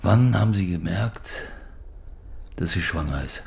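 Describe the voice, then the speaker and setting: An actor playing a probing, brooding police detective in a radio drama, talking in short, insistent lines. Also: male voice, low-pitched, lively intonation, a little slow, creaky, a little mellow, heavily acted. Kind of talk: naturalistic radio drama acting.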